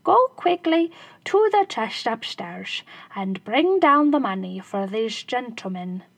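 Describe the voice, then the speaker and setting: A woman voicing a clever, spirited little male shoemaker with a sly, pleading manner, in a gravelly, husky voice. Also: on the high side, animated, a little slow, dry recording, heavily acted.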